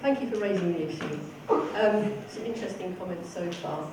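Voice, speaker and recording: A council candidate in a debate, speaking in a measured, explanatory way. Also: mid-range voice. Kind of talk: debate speech. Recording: echoey hall, far from mic, noise.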